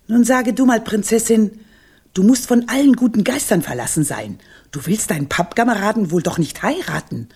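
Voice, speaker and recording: A woman's voice: lowish voice, a little mellow, husky. An actress playing an imperious old witch grandmother in a radio play, haughty, biting and sardonic. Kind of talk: German radio play acting.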